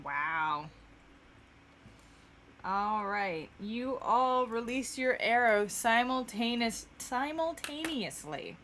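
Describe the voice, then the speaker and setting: A woman narrates a tabletop game as its game master. Her voice is fairly high and she speaks at a slow, unhurried pace, improvising as she goes. There is faint noise in the background. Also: fairly bright tone.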